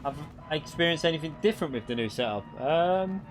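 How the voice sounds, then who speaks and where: A man's voice: a little high, expressive, fast, soft background music. A young man with a Northwest English accent, chatting casually with his viewers during a sim racing stream and laughing easily. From England. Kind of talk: casual live-stream chat.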